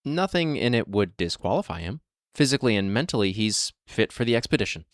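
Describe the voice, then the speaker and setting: A vault security officer in an audio drama, calm and matter-of-fact as he weighs up the volunteers. The recording is close and completely dry, with no room sound. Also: fairly low pitch, a little fast, dry recording.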